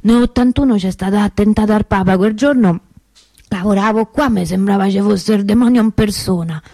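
An actress playing a middle-aged working-class Roman souvenir seller in a theatrical monologue, rambling and confiding in a colloquial, indignant way. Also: female voice, medium pitch, brisk, mellow, no echo, close to an everyday voice. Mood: wry reminiscing. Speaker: stage and radio actress.

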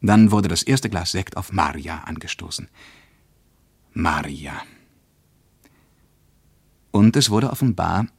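A deep-voiced, reflective storyteller. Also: male voice, no room sound. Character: reflective man recounting a journey. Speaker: narrator. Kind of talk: literary first-person narration, radio play.

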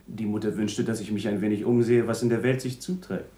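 Mellow, courteous young gentleman played by a man. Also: fairly low pitch, steady tone, fairly quick, close to an everyday voice. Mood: polite, indifferent. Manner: formal, courteous. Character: polite, languid young gentleman of wealthy family. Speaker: actor in a radio play. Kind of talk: 1960s German radio drama acting. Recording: roomy, faintly noisy old radio recording.

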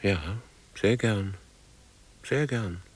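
An actor playing a weary, brooding older man in a radio drama, speaking gravely in halting fragments. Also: on the low side.

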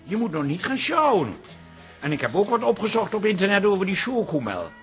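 Rambly farm boy grown up, earnest, highish swoopy voice, radio play. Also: huge pitch swings, a little fast, faint music behind. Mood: anxious, earnest. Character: flustered, rambling farm-raised man from the eastern countryside. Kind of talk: radio comedy-drama acting.

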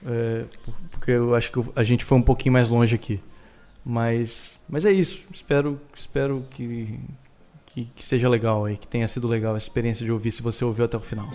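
A man's voice: mid-range voice, little pitch movement, a little fast, a little mellow. A man with a Brazilian accent in a casual, informal chat.

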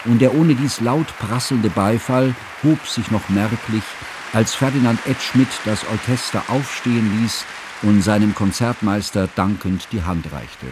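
Low-voiced, formal elderly male narrator, played by an actor. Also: unhurried, background noise, close to an everyday voice. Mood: measured. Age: older. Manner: formal, elaborate, reflective. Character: sad, elderly scholarly man recounting a friend's tragedy. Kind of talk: literary radio drama narration. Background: music.

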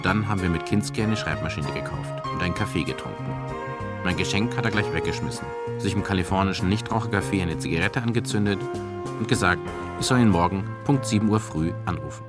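A man narrating a scripted radio documentary as the reporter, in a sober, measured way. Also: sits low, loud music behind the voice.

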